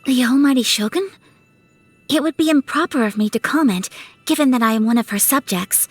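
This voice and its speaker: A young woman playing a refined, dutiful, gentle noblewoman in a video game, speaking with formal, courteous, measured phrasing. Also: sits high, no room sound. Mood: gentle, composed. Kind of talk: scripted video game character dialogue. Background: music.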